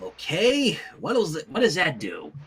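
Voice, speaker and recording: A man's voice: a little high, huge pitch swings, measured pace, a bit bright, slightly rough, exaggerated voice. A man voicing an earnest, exasperated young woman babysitter who sounds flustered and huffy in a story reading. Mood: baffled.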